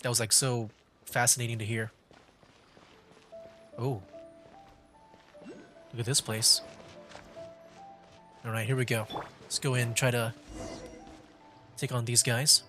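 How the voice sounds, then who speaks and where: A man's voice: mid-range voice, fairly even intonation, slow, pauses often, sharp tone. Casual male streamer over game music. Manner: informal, musing. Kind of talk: casual live gameplay commentary.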